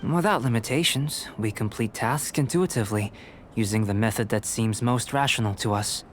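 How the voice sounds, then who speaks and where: A man's voice: on the low side, moderate pace, background noise, close to an everyday voice. Man voicing a courteous scholarly alchemist. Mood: calm. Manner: courteous, formal, precise wording. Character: polite, measured scholarly male alchemist. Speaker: researcher running experiments. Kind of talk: game voice acting.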